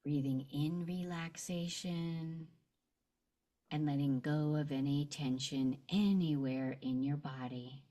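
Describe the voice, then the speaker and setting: A woman guides a meditation in a low, mellow voice. She speaks gently and very slowly, leaving long pauses between short phrases as she leads the listener through a visualization. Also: fairly even intonation.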